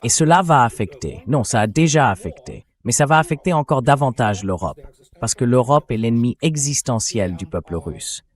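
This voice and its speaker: A man's voice: medium pitch, animated, normal speed. A man reading a translated interview as a guest commentator, speaking bluntly and emphatically about military affairs.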